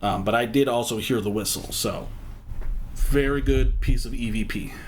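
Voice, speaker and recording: A man hosting a reaction video, chatting casually and rambling with halting fillers. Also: medium pitch, slight background noise.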